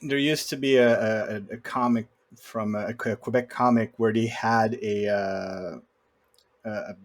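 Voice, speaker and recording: A man's voice: fairly low pitch, steady tone, measured pace. A man in casual film discussion, speaking in a wry, opinionated way. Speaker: podcast guest co-host.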